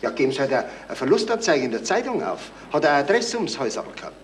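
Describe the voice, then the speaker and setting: An actor playing a dismissive, offhand police desk officer with a Bavarian accent and a dry wit, in a 1970s radio crime comedy. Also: male voice, a little high, big pitch swings, quick pace. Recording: 1977 radio play, faint noise.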